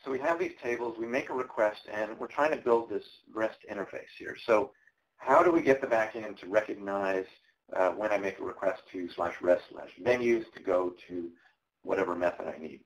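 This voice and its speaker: A man with a fairly low voice walks through code live at a tech presentation, explaining each step in a casual, halting way. His voice is going hoarse as he talks. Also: muffled recording. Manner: casual, explanatory, frequent ums and uhs. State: losing his voice. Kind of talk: live coding walkthrough. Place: conference presentation.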